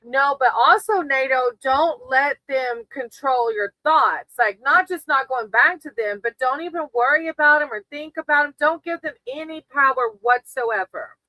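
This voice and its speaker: A woman's voice: sits high, moderate pace, hardly any pauses, bright, forceful tone, no echo, low-quality recording. A woman hosting a casual live tarot reading, talking to her chat in a reassuring, encouraging way. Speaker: tarot reader hosting a livestream.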